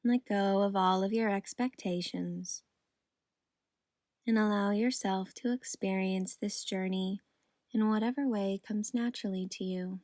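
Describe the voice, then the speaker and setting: A woman guiding a meditation in a soothing, gentle, unhurried manner. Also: mid-range voice, steady tone, no echo, clean studio sound. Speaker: meditation guide. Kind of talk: guided meditation narration.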